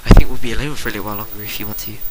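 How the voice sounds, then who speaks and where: A brash young filly pony, acted out by a man, gushes and pleads with lovestruck melodrama. The storybook-style reading sits in clearly audible hiss. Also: fairly low pitch, steady tone, racing, close to an everyday voice. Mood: amorous. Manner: gushing, melodramatic. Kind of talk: amateur dramatic reading. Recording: clearly hissy home recording.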